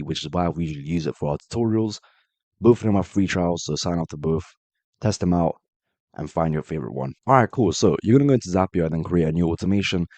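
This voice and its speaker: A man with a very low voice talks quickly through a screen-share tutorial, fighting off a cold. His tone is dark and mellow, and the dry, close sound has no room in it. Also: no room sound. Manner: brisk, direct step-by-step walkthrough addressing viewers as guys. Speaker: automation tutorial host. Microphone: voice-over on screen recording.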